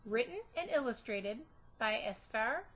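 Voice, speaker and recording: A woman reading a children's story aloud as a storytime narrator, expressive and deliberate, with dramatic emphasis for young listeners. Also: a little high. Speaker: storytime reader.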